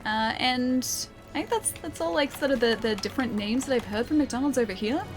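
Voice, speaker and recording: An Australian woman streaming a video game, chatting casually and reading viewer comments, with a slightly croaky voice. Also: high voice, fairly quick. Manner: chatty, rambling, frequent ums and laughs. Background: loud game music.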